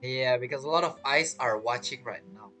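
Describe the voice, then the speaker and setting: Low-voiced woman giving chatty game commentary. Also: normal speed, a bit bright, soft background music. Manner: chatty, musing aloud. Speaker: gaming streamer. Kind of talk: casual game playthrough commentary.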